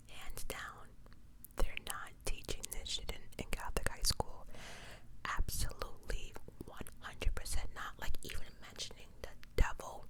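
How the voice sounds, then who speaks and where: A woman hosting ASMR in a breathy whisper, telling a true crime story with chatty, informal commentary and words repeated for emphasis. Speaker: ASMR host.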